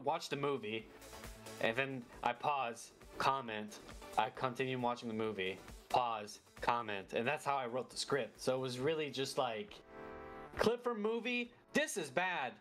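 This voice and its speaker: Man chatting as a guest. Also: medium pitch. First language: French. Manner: animated, repeats words while thinking. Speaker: guest content creator. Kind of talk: casual interview chat.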